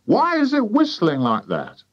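A man voicing a sharp, scornful, nagging farmer's wife in a children's audiobook. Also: high-pitched, huge pitch swings, unhurried, highs cut off, voice pushed up, full character voice.

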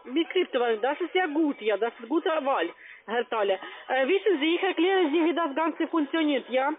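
High-pitched foreign-accented saleswoman on the phone. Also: lively intonation, moderate pace. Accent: foreign accent in German. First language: not German. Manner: pushy, repeatedly tagging phrases with "ja". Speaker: call-center sales agent. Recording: tinny narrowband phone line.

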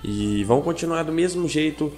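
A young man hosting a gaming channel, talking casually to his viewers with a hoarse, stuffed-up nasal voice from a cold, slangy and chatty, often checking in with them. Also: on the high side, expressive, normal speed, a little mellow, faint music behind. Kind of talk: casual vlog talk to viewers. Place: home gaming setup.

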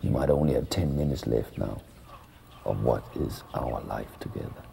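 A man telling a first-person story in a hushed, breathy whisper. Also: extremely low pitch, moderate pace, slight background noise.

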